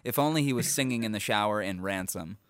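A podcast co-host chatting casually, throwing in quick joking remarks. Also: male voice, lowish voice, fairly bright tone. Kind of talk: casual chat.

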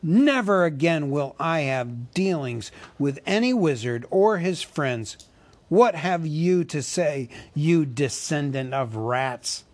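A man voicing a proud, wrathful male dwarf king who snaps and shouts, in a storybook reading. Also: fairly high pitch, lively intonation, slow pace. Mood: raging. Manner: shouting, bitter, commanding.

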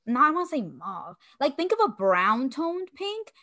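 Young woman, podcast co-host, friendly backchanneling, slightly high voice. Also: sing-song, moderate pace, pushed and bright. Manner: agreeable, giggly, lots of backchannel. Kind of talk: casual podcast chat.

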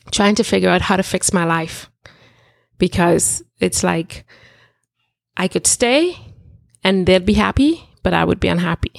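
Middle-aged woman, life coach guest, candid reflective storytelling, some vocal fry, close dry sound. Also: on the low side, no echo. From Trinidad and Tobago. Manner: reflective, candid, with filler words. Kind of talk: casual personal storytelling.

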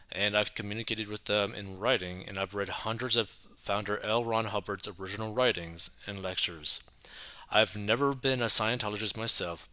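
Male host with a lowish, piercingly bright voice, reading an article in a measured way. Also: no room sound. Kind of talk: reading an article aloud, then casual commentary.

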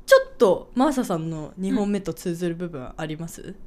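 A woman co-hosting a podcast in casual chat, excitable, giggly and effusive. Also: fairly low pitch, moderate pace. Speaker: podcast co-host.

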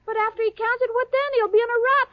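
A woman's voice: very high voice, fairly even intonation, quick pace, no room sound. An actress playing a ditzy, sweet young woman in a radio sitcom, innocent and cheerfully literal-minded. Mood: guileless. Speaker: lead character in a radio sitcom. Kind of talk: 1940s radio sitcom acting. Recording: lo-fi old radio broadcast with studio audience and hiss.

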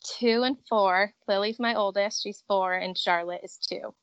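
A woman's voice: mid-range voice, steady tone, measured pace, smooth, no echo. A woman in her thirties from Connecticut, chatting upbeat and talkative as an interview guest on an outdoor porch. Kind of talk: casual interview chat.

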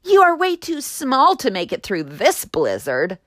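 A woman's voice: high voice, huge pitch swings, a little slow, a bit bright, no room sound, voice pushed up, exaggerated voice. Lady playing bragging big tree brothers and sisters, taunting sing-song, dry sound. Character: boastful crowd of big tree siblings.

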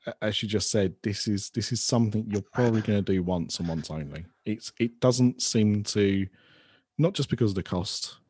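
A man's voice: lowish voice, normal speed, a little mellow, breathy edge, no echo. A man co-hosting a podcast in casual chat, speaking hesitantly and often stammering over his words. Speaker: podcast co-host.

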